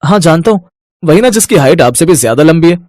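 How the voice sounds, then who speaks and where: An actor playing an eager, respectful young manager and dutiful son in a family storybook tale. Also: male voice, fairly high pitch, animated, quick pace, a little mellow, dry recording, clean studio sound, natural voice.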